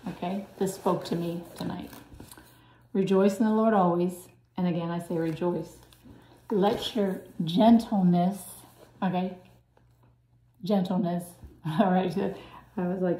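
A woman with a raspy, somewhat low and mellow voice preaches to her viewers with earnest urgency. She often sinks into a soft, breathy hush. The recording rings with the echo of the room. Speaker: channel host preaching.

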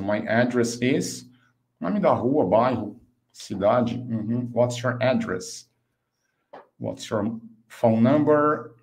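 A man in his fifties with a Brazilian accent, a native Portuguese speaker, teaching an online English lesson and slowly enunciating example phrases. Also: fairly low pitch, a little mellow. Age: 50s. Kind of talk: online language lesson, explaining and modelling phrases.

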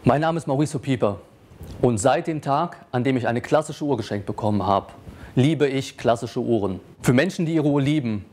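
A man, a company founder, giving an earnest, measured pitch to camera with a lapel mic. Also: animated, darkish tone.

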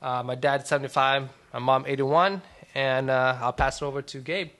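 Orange county man, relaxed, bright forceful voice, faint room ambience. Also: medium pitch, moderate pace, a little hiss or hum. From Garden Grove, California. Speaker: podcast co-host.

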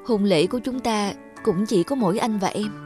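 A woman playing a gentle, sorrowful young woman in a cartoon drama, speaking earnestly and plaintively. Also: mid-range voice, normal speed, music in the background, natural voice. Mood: worried, resigned. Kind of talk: cartoon drama acting with reflective narration.

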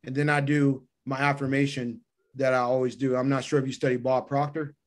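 A man's voice: medium pitch, flat delivery, fairly quick. Man explaining his motivational daily routine. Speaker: podcast guest. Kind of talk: conversational explaining of personal routines. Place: indoor room by a window, on a video call.

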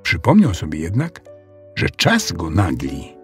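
A man narrating a children's story in an expressive, scripted storytelling style. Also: low-pitched, unhurried, soft background music.

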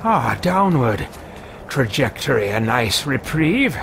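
Male streamer voicing a pompous, self-absorbed mage; drawn-out, lilting delivery, home desk setup. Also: medium pitch, very animated, vocal fry, background noise, exaggerated voice. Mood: haughty. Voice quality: pompous, grandiose. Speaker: let's play host roleplaying a character. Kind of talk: in-character roleplay over a video game. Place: room at home, indoors.

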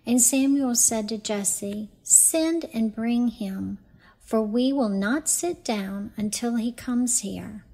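Slow, creaky-voiced woman reading scripture. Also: a little high, dark tone. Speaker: scripture reader. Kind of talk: solemn scripture reading aloud.

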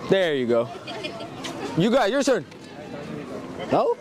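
Loud playful man teasing strangers. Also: high-pitched, big pitch swings, slow. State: cold, runny nose. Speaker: prank vlogger. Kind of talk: street prank vlog. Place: outdoors in a busy city crowd. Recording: loud music and crowd noise outdoors. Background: loud music and crowd.

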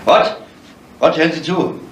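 An actor playing a gruff, bitter and driven police commissioner in a 1970s crime radio drama, grumbling and urgent. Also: male voice, a little high, unhurried, dull audio, noisy background, close to an everyday voice.

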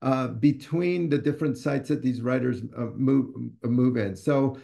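A man's voice: medium pitch, moderate pace, creaky, warm tone. A man in his sixties from Ecuador, an author answering questions on a video call from a study, thoughtful and hesitant with many fillers and restarts. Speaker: author and scholar. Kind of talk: conversational talk on a video call. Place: home study with bookshelves. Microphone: video call webcam.

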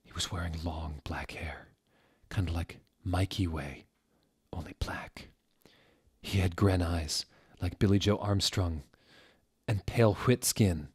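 A man in his thirties reads a story aloud in a fairly low voice. His pace is very slow and deliberate, and his delivery is theatrical. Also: a little mellow, breathy edge. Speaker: reader, show host. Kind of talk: dramatic fan fiction reading. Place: small furnished room indoors. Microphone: desk microphone, close.

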